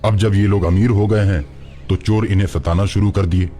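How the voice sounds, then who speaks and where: A man's voice: low voice, steady tone, normal speed, dark tone, soft background music, pitched below the performer's own voice, close to an everyday voice. A man voicing a kind, solemn ghost who protects a village, speaking earnestly in a cartoon story. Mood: troubled, resolute. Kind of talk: Hindi cartoon story character voice.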